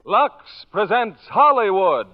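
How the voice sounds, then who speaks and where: A male announcer projects in a high voice in 1940s radio style. Prominent music plays behind him. The old recording is thin and tinny. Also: very animated, slow. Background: loud music.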